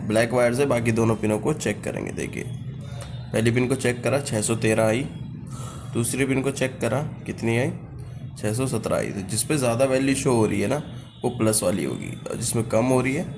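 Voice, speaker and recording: A man's voice: mid-range voice, normal speed, over music. A man showing a hands-on repair demonstration, explaining each step as he works. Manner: explains while working, pointing things out. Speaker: repair technician doing a demo.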